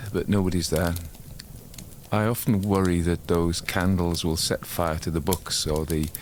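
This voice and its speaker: A man with a deep voice hosts in a casual, literary way. He talks like a storyteller chatting to regular listeners, drifting into rambling asides as he goes. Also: a little hiss or hum. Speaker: host and narrator of a ghost story show.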